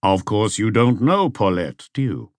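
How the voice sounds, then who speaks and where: A calm, probing detective chief inspector, voiced by a male narrator, speaks low and measured. He questions with polite insistence in a dry audiobook recording. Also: no echo. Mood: pressing.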